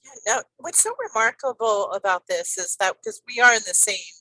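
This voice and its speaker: Woman in her 50s hosting a podcast, bright punchy voice, dry close sound, rambly and gushing. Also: fairly high pitch, normal speed, dry recording, rough recording. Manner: enthusiastic, rambling, many fillers. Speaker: podcast host. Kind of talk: conversational interview.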